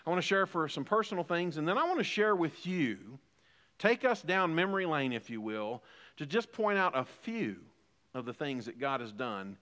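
Bright-voiced fifty-something preacher, hall echo. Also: male voice, a little high, very animated, normal speed. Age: 50s. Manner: preaching with rising emphatic repetition. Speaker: pastor. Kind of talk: sermon.

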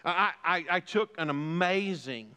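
A man's voice: a little high, animated, normal speed, pushed and bright, clean tone, in a large hall. A man in his fifties preaching a sermon as pastor, building emphasis through repeated phrases. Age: 50s. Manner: preaching with rising emphatic repetition.

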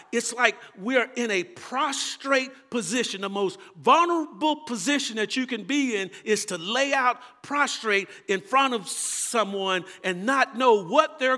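Texan male pastor, high and bright, fervent preaching that drops to hushed asides, long hall reverb. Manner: impassioned preaching, swinging between loud calls and quiet asides. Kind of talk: sermon.

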